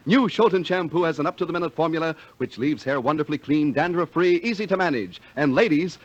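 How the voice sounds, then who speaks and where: A man reading scripted 1950s radio announcements in a formal, polished way. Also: a little high. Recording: dull lo-fi vintage radio broadcast.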